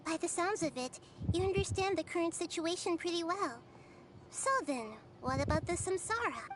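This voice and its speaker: A woman playing a gentle, wise, childlike girl deity in an anime video game, small-voiced, measured and articulate. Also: very high voice, lively intonation. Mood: patient. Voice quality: small, girlish. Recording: game stream with background noise.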